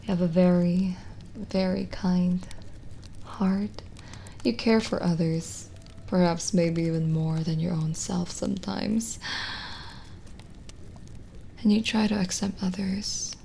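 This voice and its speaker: Very slow, whispery gentle witch, played by a woman. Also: lowish voice, slight background noise. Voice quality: soft, breathy whispering. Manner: slow, hesitant, intimate, teasing. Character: lonely gentle teasing witch, female, reading palms. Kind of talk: ASMR roleplay, soft-spoken with whispering.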